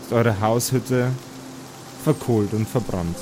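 A man narrating as game master, telling an improvised fantasy story in a measured, halting, atmospheric way. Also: on the low side, noisy background.

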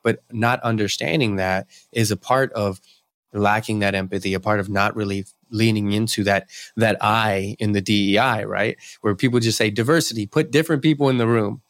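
A man hosts an interview, working through long, thoughtful questions and recaps. His voice is low-pitched. The sound is dry and close, with no room around it. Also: fairly even intonation, moderate pace, no echo. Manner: reflective, long-winded questions. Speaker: podcast host. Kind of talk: interview hosting.

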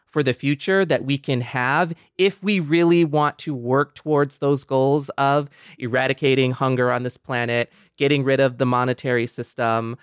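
Male panelist, smooth clear mid-range voice, earnest and conversational, dry close recording. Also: medium pitch, fairly even intonation, normal speed, hardly any pauses, dry recording. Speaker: podcast panelist. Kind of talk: casual panel discussion.

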